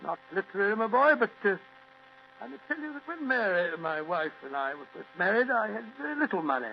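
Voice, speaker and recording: Blustering high-voiced English gentleman doctor. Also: very animated, a little fast, vocal fry, heavily acted. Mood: genial, consoling. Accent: British. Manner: avuncular, chuckling, stammering bluster. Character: genial, blustering older English gentleman doctor, loyal sidekick. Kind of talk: vintage detective radio play acting. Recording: dull, hissy old radio recording with hum.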